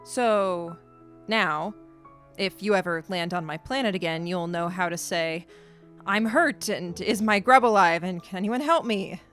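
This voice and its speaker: A woman playing a stranded alien creature in an audio drama, stoic and reflective, with a deliberate, solemn way of telling things. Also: lowish voice, fairly bright tone, soft background music. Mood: wry.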